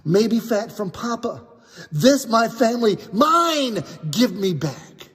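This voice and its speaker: A man's voice: high voice, sing-song, slow pace, fairly bright tone, husky, pitched above the performer's own voice, heavily acted. A man doing a grieving immigrant boy. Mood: anguished. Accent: broken English of a new immigrant. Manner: pleading, emphatic. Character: young immigrant boy, grieving, fiercely protective. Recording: long hall reverb.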